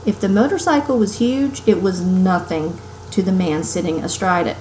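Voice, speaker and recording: A woman reading a children's novel aloud as an expressive storyteller. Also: lowish voice, normal speed. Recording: roomy home recording with faint noise.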